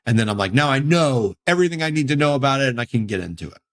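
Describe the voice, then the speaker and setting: A man in his thirties hosting a solo podcast, hoarse and losing his voice, talking in a candid, rambling way. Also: mid-range voice, lively intonation, very fast, a bit bright. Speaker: podcast host.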